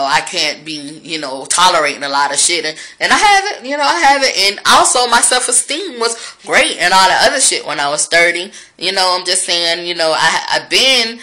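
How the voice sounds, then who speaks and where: A woman's voice: on the low side, moderate pace, hardly any pauses, sharp tone. A woman, talking frankly and profanely to her viewers in a reflective vlog monologue. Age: 30s.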